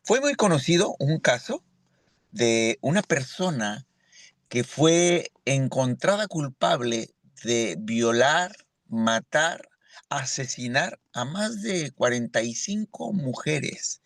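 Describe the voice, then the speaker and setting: A man's voice: mid-range voice, expressive, takes it slowly, no echo. A man from Mexico giving an earnest church sermon as a lay preacher, often asking "¿verdad?" to his listeners. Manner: earnest, with frequent rhetorical "¿verdad?".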